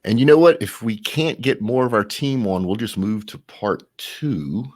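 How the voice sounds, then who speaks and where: The organizer on a livestream, a man with a lowish voice casually moving everyone to the next segment. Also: animated, moderate pace, a little mellow. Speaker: event co-host and organizer. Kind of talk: casual live hosting.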